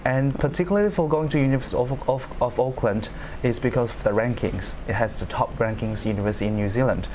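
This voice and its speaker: A man's voice: medium pitch, fast, hardly any pauses, slight background noise. Earnest young man from China. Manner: earnest, steady, with small grammar slips. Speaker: law student panelist. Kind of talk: panel discussion answers.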